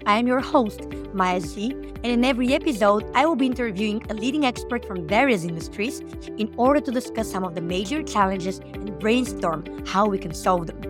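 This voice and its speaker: A woman's voice: mid-range voice, lively intonation, a little fast, over music. A woman from Bulgaria hosting a podcast and interviewing a guest in an upbeat way, sometimes repeating words as she goes. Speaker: podcast host.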